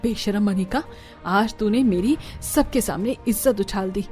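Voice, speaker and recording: A woman's voice: mid-range voice, measured pace, dark tone, soft background music, natural voice. A woman voicing a proud, bossy middle-aged mother-in-law in a Hindi cartoon drama, with a scolding, commanding manner. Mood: shocked, angry.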